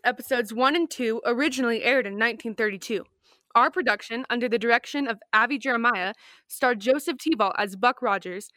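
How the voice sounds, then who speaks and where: A woman's voice: a little high, sharp tone, no room sound. A young woman reading scripted announcements as a radio drama announcer. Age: young adult.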